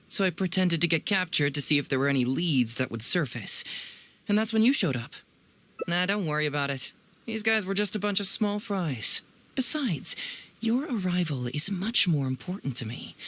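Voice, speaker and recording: A man's voice: a little high, fairly bright tone, slightly rough, full character voice. An actor playing a clever, playful young male detective in an anime video game, musing theatrically and teasingly.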